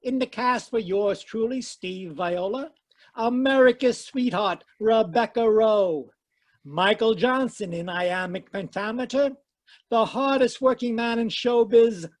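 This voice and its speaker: A man hosting and announcing a radio drama, formal with playful asides in the credits. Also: high voice. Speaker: announcer and host. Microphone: home mic over video call.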